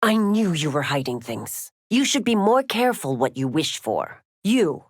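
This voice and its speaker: Low-voiced, authoritative constable warrior. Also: female voice, very animated, a little slow, no room sound, studio quality, exaggerated voice. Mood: cold, challenging. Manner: authoritative, formal, clipped declarations. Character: stern, dutiful woman constable, proud veteran warrior. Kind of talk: video game fighter voice lines, dramatic acting.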